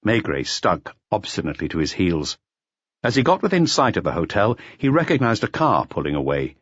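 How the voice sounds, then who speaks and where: A man narrates a detective audiobook in a low, husky voice. His delivery is scripted and very lively in pitch, recorded close and dry with a slightly dull sound. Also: brisk, no echo.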